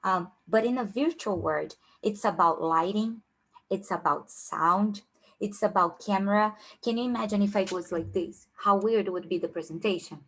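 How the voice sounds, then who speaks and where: A woman's voice: medium pitch, normal speed, fairly bright tone, low-quality recording. A young woman with a strong Brazilian Portuguese accent giving a casual online workshop on job interviews, with many fillers and restarts. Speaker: career coach.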